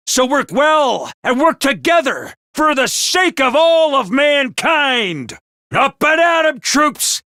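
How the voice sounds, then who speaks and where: A man's voice: very high-pitched, bright, forceful tone, no echo, clean studio sound, exaggerated voice. A man voicing a zealous academy commander who rallies his troops with theatrical cheer, in a video game. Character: zealous male military academy announcer, rousing. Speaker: academy commander making daily announcements. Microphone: close studio mic.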